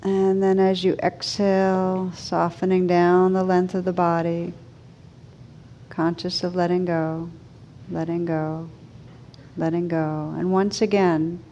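A woman meditation teacher leading a guided meditation in a gentle, soothing manner, with unhurried pauses between short phrases. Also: on the low side, little pitch movement, highs cut off, a little hiss or hum.

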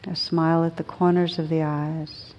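A woman teaching a guided meditation in a gentle, soothing, unhurried manner with long pauses between phrases. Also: low voice, level tone, highs cut off.

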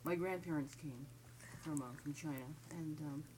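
Low-voiced woman sharing her story. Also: steady tone, normal speed, a little mellow, husky, rough recording. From Southern California. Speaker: participant. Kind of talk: personal sharing in a group.

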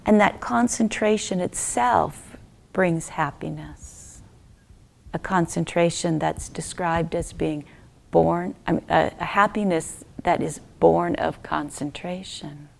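A woman guides a meditation in a slightly low voice, thick with creak. She speaks very slowly and gently, in short phrases with long pauses. The ends of her phrases soften toward a breathy near-whisper. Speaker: meditation teacher.

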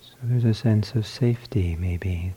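A man leading a guided loving-kindness meditation, speaking softly and trailing off into whispers. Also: fairly low pitch, husky. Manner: slow, soft, trailing into whispers. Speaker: meditation teacher.

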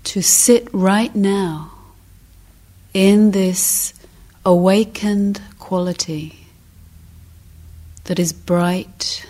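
A woman meditation teacher giving guided instruction, speaking gently and deliberately in short phrases with long pauses. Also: medium pitch.

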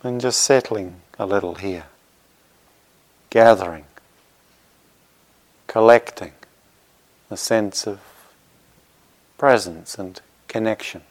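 A man leading a guided meditation as a teacher, speaking gently and reassuringly in short phrases with unhurried pauses. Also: on the low side, rough recording.

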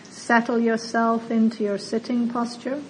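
A woman meditation teacher leading a guided meditation in a gentle, soothing manner, with long pauses between slow phrases. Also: a little high, level tone, poor audio. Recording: clear background noise.

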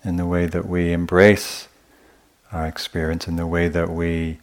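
A man teaching meditation in a gentle, unhurried way, often pausing between short phrases as he gives a guided talk at a retreat. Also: low voice, little pitch movement.

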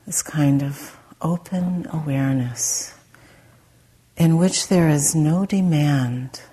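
A woman meditation teacher leading a guided meditation in a soft, breathy, gentle way with long pauses. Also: deep voice.

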